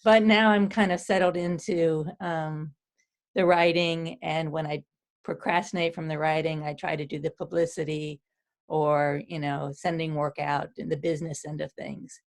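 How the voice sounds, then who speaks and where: Chatty lower-voiced woman on a video call. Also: flat delivery, moderate pace. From central Pennsylvania. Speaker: poet and university teacher. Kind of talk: casual group video call.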